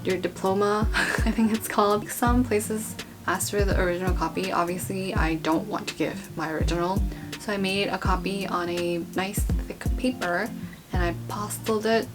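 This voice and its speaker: A woman's voice: mid-range voice, fairly even intonation, moderate pace. A young woman talking casually and informally as a vlogger, in a chatty, rambling explainer style. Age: young adult. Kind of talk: casual informational vlog talk. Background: background guitar music.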